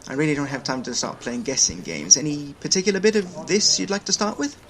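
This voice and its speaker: A man playing a busy, sardonic logistics technician with a dry, brisk manner in an audio drama. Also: fairly high pitch, lively intonation.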